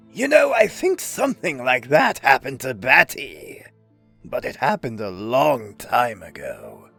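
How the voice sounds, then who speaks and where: A gleeful, mocking clown supervillain in a comic dub. The voice swoops wildly and theatrically, with a raspy, creaking edge, and takes its time over each taunt. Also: male voice, fairly high pitch, pushed and bright, heavily acted. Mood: mock sympathy. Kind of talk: comic book dub acting.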